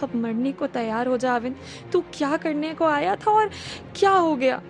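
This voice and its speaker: A man's voice: extremely high pitch, moderate pace, faint music behind. A woman voicing a frightened young man wrongly accused, pleading and panicky. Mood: inner panic.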